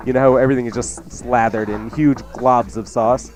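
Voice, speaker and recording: A man's voice: mid-range voice, steady tone, normal speed. A man who is a chef and radio co-host, giving casual cooking advice.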